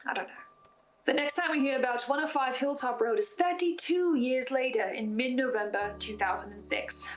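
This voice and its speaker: A woman's voice: high voice, moderate pace. A woman presenting a scripted recap of a horror story, adding her own theories and asides. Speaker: host of a recap and theory video on a horror podcast.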